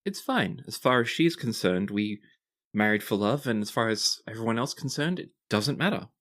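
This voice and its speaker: A performer playing an awkward, defensive runaway prince with a halting manner in a tabletop game. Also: medium pitch, very animated, a bit bright. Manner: halting, flustered.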